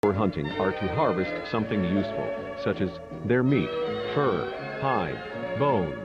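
Casting: male instructional narrator, lowish and formal, muffled audio under loud music. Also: very animated. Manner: formal, measured read.

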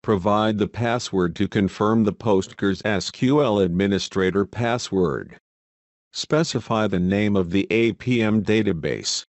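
Male tutorial narrator, lower register, measured scripted instructional read, dry close recording. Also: no echo.

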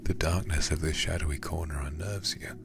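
A man reading a horror game aloud in ASMR style, hushed, breathy and deliberate, close to the microphone. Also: extremely low pitch, fairly even intonation, noisy background. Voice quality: breathy, whispery. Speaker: livestreamer playing a horror game.

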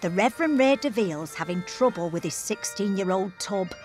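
Playful lively woman narrator over music. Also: medium pitch, a little fast. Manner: playful, punning. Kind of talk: scripted documentary narration. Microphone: studio voice-over. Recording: music bed under the voice.

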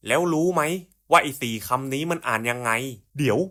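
A man performs cartoon puzzle dialogue in a mid-pitched voice. His delivery is colloquial and slangy, with the blunt, coarse banter of close buddies talking to each other. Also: a little fast, a bit bright. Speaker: cartoon creator voicing puzzle skits. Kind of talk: scripted cartoon dialogue in casual slangy speech.

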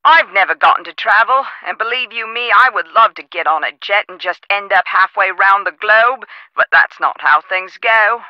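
A woman playing a chatty phone operator in a video game, with expressive customer-service delivery over a muffled line. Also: medium pitch, moderate pace, hardly any pauses, no room sound, natural voice. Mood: chatty, wistful. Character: perky, chatty castle staffing phone operator. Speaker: call centre operator.

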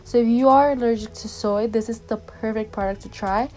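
A woman's voice: on the high side, fairly quick. A woman in her twenties with a Dominican accent, chatting animatedly through a skincare review in her bedroom. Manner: animated, talks with her hands, upbeat. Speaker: fashion and skincare vlogger. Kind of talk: casual chatty product review. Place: bright bedroom.

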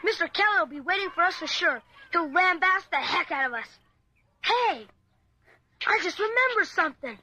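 A woman's voice: extremely high pitch, expressive, normal speed, sharp tone, no echo, exaggerated voice, poor audio. A woman playing a jittery, slangy young child in a radio drama, childlike and exclamatory. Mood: anxious.

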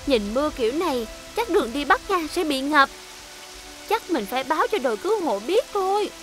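A woman voicing a kind, reassuring big sister in a children's cartoon, explaining things gently and encouragingly. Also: high voice, sing-song, brisk, soft background music. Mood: concerned. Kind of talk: animated children's series dub.

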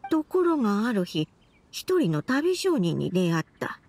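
A woman voicing a frail, gentle old grandmother in a video game, with apologetic, old-fashioned elderly phrasing. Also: mid-range voice, big pitch swings, a little slow. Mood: wistful recollection. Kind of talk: video game dub acting.

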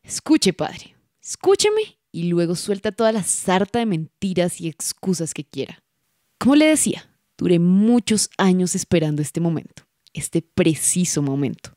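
An actress playing a cold, vengeful Colombian señora in a radio drama, taunting and deliberate in the way she talks. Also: fairly low pitch, dark tone, no echo, clean studio sound. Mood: cold, menacing. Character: cold, vengeful señora savouring her long-awaited revenge.

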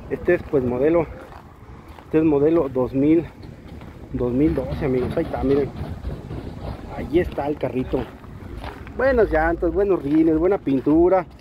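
A Mexican man showing cars at an outdoor market, talking in a lively, salesy way full of slangy diminutives. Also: fairly high pitch, measured pace, long pauses, lo-fi sound. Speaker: used-car market vlogger. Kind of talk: walk-around car showcase. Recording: outdoor street noise.

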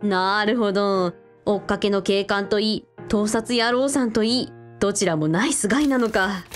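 A woman's voice: medium pitch, moderate pace, faint music behind, natural voice. A woman playing a bossy, curious big sister in a radio drama, blunt and teasing.

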